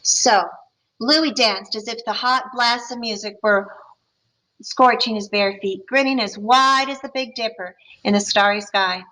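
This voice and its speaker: A woman teacher reading a picture book aloud to her students, pausing to explain and ask them questions. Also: a little high, bright, forceful tone, slight background noise, low-quality recording. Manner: friendly, explaining to children between passages.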